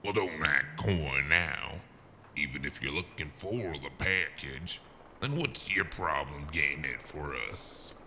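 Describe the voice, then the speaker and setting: An actor playing a calm, businesslike underworld henchman in a noir audio drama, measured and faintly menacing. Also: very deep voice, a little hiss or hum, heavily acted. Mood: impatient.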